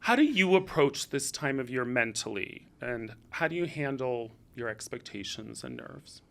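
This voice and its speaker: A man's voice: mid-range voice, animated, normal speed, vocal fry, bright, forceful tone. A man hosting a fashion podcast interview, introducing and questioning his guest in an upbeat, eager way. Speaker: podcast host.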